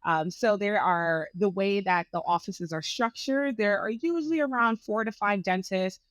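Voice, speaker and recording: A woman's voice: mid-range voice, moderate pace, no echo. A woman from Brooklyn, a dentist in her thirties, talking energetically in a casual interview with lots of fillers. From Brooklyn, New York. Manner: energetic and chatty, with frequent fillers. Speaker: dentist guest.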